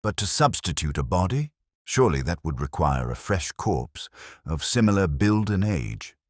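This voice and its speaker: A man's voice: sits low, very animated, moderate pace, no echo, clean studio sound. A man voicing a loyal, questioning Victorian detective's companion in an audiobook, earnest and inquiring. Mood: puzzled, earnest.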